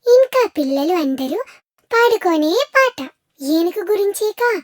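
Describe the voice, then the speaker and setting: Very high, childlike children's song presenter. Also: sing-song, a little slow, very noisy. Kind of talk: scripted introductions to nursery songs.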